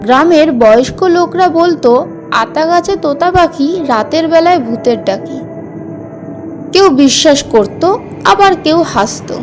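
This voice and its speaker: A woman's voice: high voice, expressive, unhurried, music in the background. A woman narrating a scripted horror fairy tale as a storyteller.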